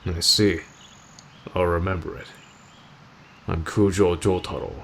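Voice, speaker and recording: A man playing an aloof, stoic teenage delinquent boy, curt and deadpan, in an ASMR roleplay addressed to the listener. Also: deep voice, measured pace, creaky, a little hiss or hum, heavily acted.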